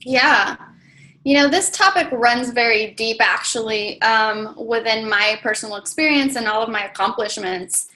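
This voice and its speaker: Woman, from Mexico, native Spanish speaker, interview guest, casual, lots of fillers, higher voice, bright tone. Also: moderate pace, low-quality recording. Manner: chatty, many fillers like "like" and "you know". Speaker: interview guest, coach mentor.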